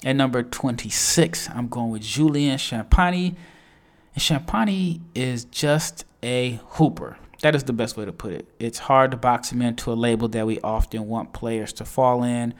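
A man's voice: medium pitch, moderate pace. A man hosting his own show, giving a solo breakdown of basketball draft prospects in a chatty, enthusiastic way with frequent restarts.

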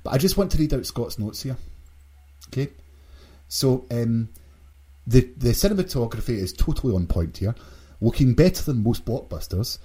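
A man's voice: mid-range voice, lively intonation, normal speed, mellow, breathy edge. A man hosting a casual, jokey podcast discussion among friends. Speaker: podcast host.

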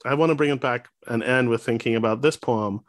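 A man with a middle-pitched voice hosts a poetry interview. He thinks out loud and leads into open questions. The recording is dry and close. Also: fairly quick, no echo. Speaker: interview host. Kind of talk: conversational interview.

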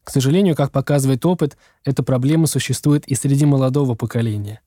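Formal male interviewer, mellow tone. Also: mid-range voice, fast talker, no echo, clean studio sound. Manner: formal, read-out questions. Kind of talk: scripted interview questions.

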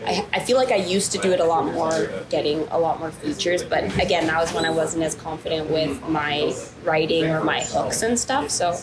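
A woman's voice: sits low, normal speed, few pauses, rough recording. A woman rapper being interviewed, talking casually with lots of fillers and restarts. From Canada. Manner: chatty, many fillers and restarts. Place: downtown coffee shop. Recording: busy coffee shop chatter behind.

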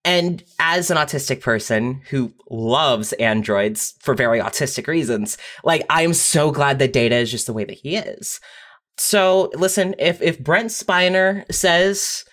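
Mid-pitched podcast writer, casual and halting. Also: fairly bright tone. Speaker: audio fiction writer on a podcast. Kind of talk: casual, reflective panel discussion.

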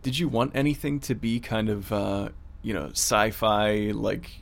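Guy cohost doing a casual interview, lowish voice, real gravelly and creaky. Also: steady tone, a little slow. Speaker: producer and co-host asking questions.

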